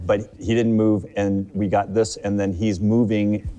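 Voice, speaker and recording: Lower-voiced man chatting over dinner. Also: flat delivery, unhurried, warm tone. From Japan. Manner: chatty, storytelling, laughs while talking. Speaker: host. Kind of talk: casual chat over dinner. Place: living room.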